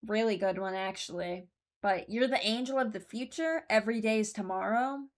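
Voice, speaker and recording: A woman's voice: mid-range voice, normal speed. A woman giving casual, rambling commentary as a host, thinking aloud as she goes. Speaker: host ranking game voice lines.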